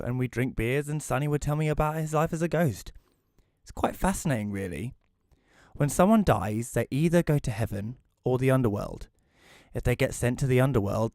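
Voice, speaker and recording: A man in his thirties playing a glum, self-deprecating widower who narrates an audio drama in a dry, deadpan way. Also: mid-range voice, no echo, natural voice. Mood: dry, resigned. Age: 30s. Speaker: narrator and lead character. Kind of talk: first-person scripted narration in audio drama.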